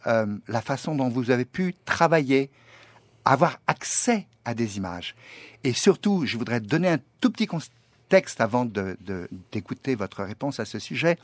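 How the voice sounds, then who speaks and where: A man's voice: medium pitch, no echo. A man in his sixties asking long, formal, winding questions, courteous and hesitant, with many fillers. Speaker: guest questioning a filmmaker.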